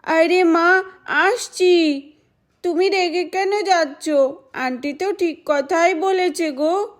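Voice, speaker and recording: Eager little village girl woman performer, high childlike chatter for a puppet-doll tale. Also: takes it slowly. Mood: pleading.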